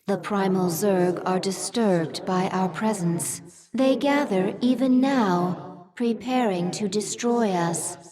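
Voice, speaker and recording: Woman as slow, dutiful alien servant. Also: mid-range voice, a crowd in the background, lightly acted. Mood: warning. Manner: formal, measured, deferential. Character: dutiful, composed female alien servant of the queen. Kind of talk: video game creature acting. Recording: music clearly behind the voice.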